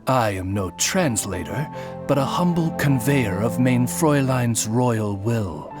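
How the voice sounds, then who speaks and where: A man voicing a courteous male raven who serves as a formal butler in a video game, measured and polite. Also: medium pitch, lively intonation, over music.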